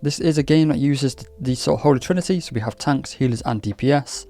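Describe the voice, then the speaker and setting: A man's voice: mid-range voice, fast talker, dark tone. A man from Wales hosting a casual gaming vlog, talking in a chatty, candid, lightly scripted way. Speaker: gaming YouTuber. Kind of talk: casual game commentary vlog. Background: faint background music.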